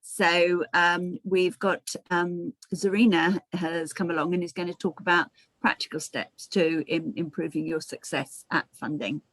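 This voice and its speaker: A woman's voice: lowish voice, steady tone, measured pace, a bit bright, no room sound, poor audio. A woman chairing an online meeting, friendly and hesitant on a video call.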